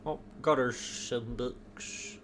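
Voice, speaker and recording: A man's voice: mid-range voice, steady tone, very slow, noisy background. A man giving casual gameplay commentary, muttering to himself in short phrases as he plays. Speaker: game streamer. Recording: game music behind. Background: video game music and effects.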